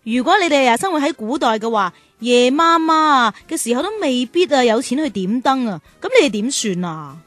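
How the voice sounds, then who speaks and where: Children's radio drama: instructive, caring big-sister guide, high-pitched, animated in delivery, dry studio sound. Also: female voice, moderate pace, hardly any pauses, dry recording, soft background music. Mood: explanatory. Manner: reassuring, explanatory, expressive.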